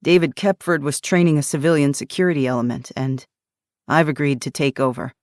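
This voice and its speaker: Woman reading grim guarded man, low and slow, dry close sound, serious urgent warnings. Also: steady tone, no echo, close to an everyday voice. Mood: firm. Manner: grave, measured, urgent warnings.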